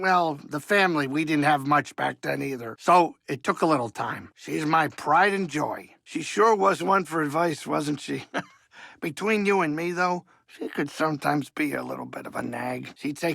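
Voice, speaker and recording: A fussy middle-aged alien starship pilot from a video game. His voice sits a bit high for a male, bright and full of gravel, recorded dry and close. He grumbles and frets in a folksy, good-hearted way. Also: no room sound, full character voice. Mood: warm, reminiscing, then fond, bantering. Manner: folksy, fretful, grumbling, chatty. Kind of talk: video game character acting.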